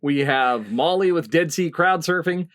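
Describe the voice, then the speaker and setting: A man's voice: fairly high pitch, moderate pace, a bit bright. A middle-aged man hosting a comedy panel quiz over a video call. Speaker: quiz show host.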